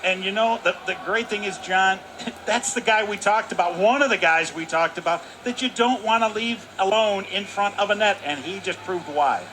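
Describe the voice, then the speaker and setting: A man's voice: sits high, moderate pace, sharp tone, rough recording. A man giving color commentary on a radio hockey broadcast, explaining strategy in a folksy, emphatic way. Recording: arena broadcast with faint noise.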